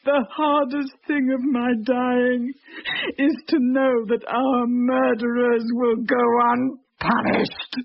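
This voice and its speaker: A slow, grieving, starving widow. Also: female voice, fairly high pitch, little pitch movement, gravelly, heavily acted. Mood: bitter anguish. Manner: slow, mournful, anguished delivery. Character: starving, grieving village widow and mother, bitter and despairing. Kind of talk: dramatic monologue in a horror radio play. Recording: dull lo-fi radio drama recording.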